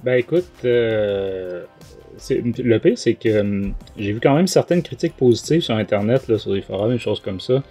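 A man with a Quebec French accent hosting a book podcast, giving his critique in a casual, halting way. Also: lowish voice, animated, dark tone, breathy edge, faint music behind. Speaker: podcast host and book reviewer.

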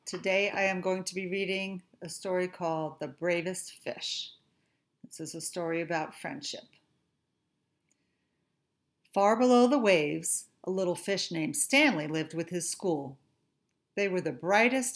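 Slow-paced middle-aged woman reading a storybook. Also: fairly low pitch. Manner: expressive, deliberate phrasing for young children. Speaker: teacher reading aloud to first graders. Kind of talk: children's storybook read-aloud. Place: small furnished room at home.

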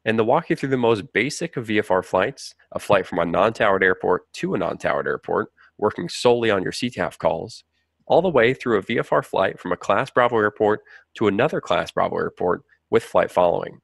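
Male explainer narrator: lowish voice with some fry, scripted delivery, close dry recording. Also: animated, fairly quick, no echo. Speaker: narrator of a flight training promo.

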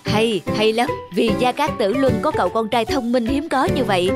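A woman's voice: a little high, normal speed. A woman performing all the voices of a cartoon folk tale, acted with expression, over music. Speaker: narrator and voice of all characters. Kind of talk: cartoon voice acting. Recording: music behind.

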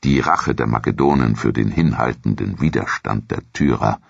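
A man reading scripted history narration as a documentary narrator in a measured, formal, authoritative manner. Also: rumbling low, gravelly, no echo, highs cut off.

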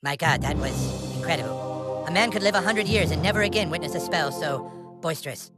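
A man's voice: on the high side, animated, fairly quick, close to an everyday voice. A man doing a bossy nasal boy wizard. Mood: pompous. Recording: game audio with music behind.

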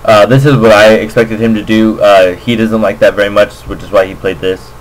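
A man's voice: fairly low pitch, fairly even intonation, brisk, a little hiss or hum, low-quality recording. A man giving casual, unscripted game commentary, hesitant and thinking aloud as he goes. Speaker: amateur Go player, commentator. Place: indoors at a computer, screen recording voice-over. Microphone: makeshift computer microphone.

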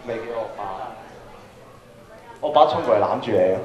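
A man's voice: medium pitch, animated, brisk, darkish tone. A man with a Hong Kong Cantonese accent improvising a story in a group. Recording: dull echoey auditorium, chattering crowd.

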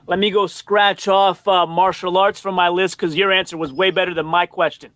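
A man's voice: high-pitched, level tone, normal speed, fairly bright tone, dry recording. A man in his thirties hosting a casual interview over a video call from a home office. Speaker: interviewer, show host.

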